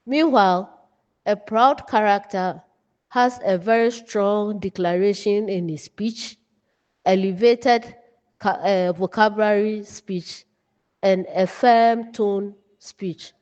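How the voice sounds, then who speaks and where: A Ghanaian woman delivers a lesson at a slow, deliberate pace. She breaks her teaching into short, emphatic phrases. The recording is dull and muffled, with long reverb around her voice. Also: medium pitch, darkish tone. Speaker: writing teacher. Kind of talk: instructional lecture from notes.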